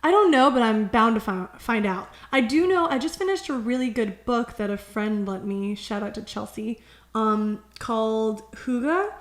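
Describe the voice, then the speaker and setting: Young woman, podcast host, bubbly, playful, slightly high voice. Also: expressive. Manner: bubbly, playful, quick laughs and silly voices. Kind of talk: casual podcast chat.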